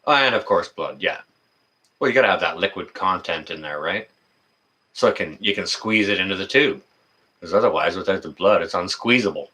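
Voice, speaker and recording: Male, 50s, deep but forward and bright voice; folksy, rambling, unscripted livestream chatter with a halting flow. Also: lively intonation. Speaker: livestream host. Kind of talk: casual livestream chat.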